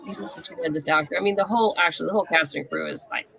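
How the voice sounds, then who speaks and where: A woman's voice: deep voice, racing, gravelly, a bit bright. A woman speaking as an interview guest in casual, conversational chat.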